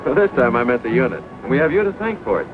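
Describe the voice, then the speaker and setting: A muffled vintage film track with music carries a quick, animated young American man an actor as an amiable small-town doctor. His delivery is brisk, in 1940s screen style. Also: mid-range voice, natural voice.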